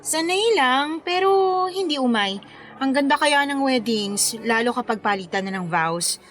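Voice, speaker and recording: An actress playing a friendly, patient middle-aged wedding planner in a radio drama, with a Filipino accent. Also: female voice, sits high, expressive, moderate pace, fairly bright tone. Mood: warm, sincere. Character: friendly forty-year-old woman wedding planner, patient and sincere. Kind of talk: Tagalog radio drama dialogue.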